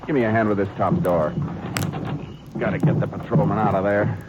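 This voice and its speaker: Actor doing a low calm ranger, short plain orders, muffled old radio with music. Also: male voice, a little fast, very noisy, lightly acted. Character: steady male Texas Ranger narrating his case. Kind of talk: 1950s radio drama acting and narration.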